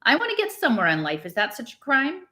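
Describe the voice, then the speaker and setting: A bright, forceful voice for a harried storybook innkeeper acted out by a woman. He is a husband and father who grumbles, protests and defends himself, irritable with everyone around him. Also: high voice, huge pitch swings, quick pace, pitched above the performer's own voice, full character voice. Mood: indignant. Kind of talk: expressive storybook read-aloud.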